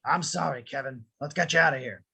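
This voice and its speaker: A man playing a gruff, determined old adventurer with a raspy voice in an amateur cartoon table read. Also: a little high, fairly quick, sharp tone, no echo, heavily acted. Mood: urgent, caring. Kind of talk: amateur table read of an animated film script.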